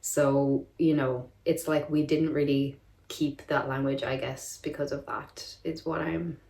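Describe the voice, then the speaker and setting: A woman's voice: low-pitched, level tone, measured pace, gravelly, dark tone, roomy sound. A young Irish woman chatting casually to camera, filling her talk with "like" and laughing between points. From Ireland. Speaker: vlogger.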